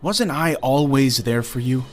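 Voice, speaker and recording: A man's voice: mid-range voice, expressive, unhurried, lightly acted. A man playing a bitter, earnest young former sidekick, delivering a reproachful dramatic monologue in a comic dub. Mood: impassioned reproach. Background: music.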